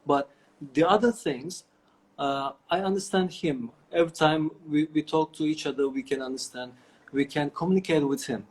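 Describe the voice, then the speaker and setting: A man's voice: a little high, moderate pace, rough recording. A middle-aged man with a Turkish accent, answering interview questions over a video call, with many fillers and restarts. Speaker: guest. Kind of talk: online interview.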